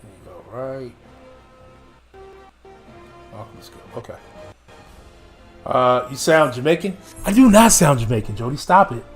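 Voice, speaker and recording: A man's voice: mid-range voice, very animated, unhurried, long pauses, soft background music. Joking male game streamer. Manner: joking banter with chat. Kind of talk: casual gaming commentary.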